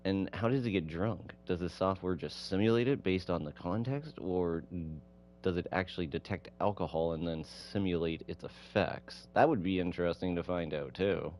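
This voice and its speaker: A man voicing an earnest, curious visual novel hero who coaxes gently and muses to himself. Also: low-pitched, normal speed, a little hiss or hum, natural voice. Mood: curious, musing. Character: earnest, curious man courting an android, inwardly calculating.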